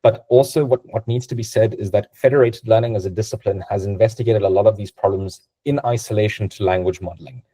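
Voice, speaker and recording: Low, husky man giving a technical talk. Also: quick pace, hardly any pauses, mellow. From Serbia. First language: Serbian. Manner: conversational, many fillers and "right?". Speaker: guest speaker.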